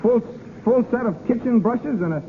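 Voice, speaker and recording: A man's voice: extremely high pitch, moderate pace. An actor playing a brash, flirtatious, wisecracking man at sea in a romantic radio comedy, teasing and persistent in a 1930s acting style. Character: brash, flirtatious, wisecracking man pursuing a woman aboard ship. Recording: tinny old radio broadcast, audience noise.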